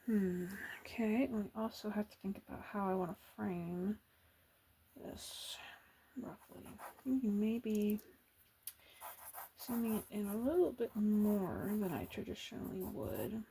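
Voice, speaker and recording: A woman chatting softly as she draws for an ASMR video, thinking aloud with hesitant pauses and often slipping into whispers, close to the mic. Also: medium pitch, lively intonation, creaky, slight background noise. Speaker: artist drawing on camera. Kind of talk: soft-spoken ASMR drawing chat.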